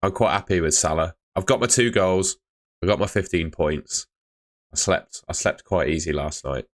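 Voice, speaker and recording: A young man chatting live with viewers on a stream, laid-back and chatty, his voice fading and hoarse. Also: deep voice, moderate pace. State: losing his voice.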